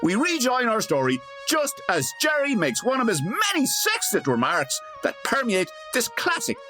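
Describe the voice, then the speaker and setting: Comedy narrator for a radio play, lowish voice, super lively, wry little asides, bit of music under. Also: female voice, normal speed, full character voice. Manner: wry, digressive.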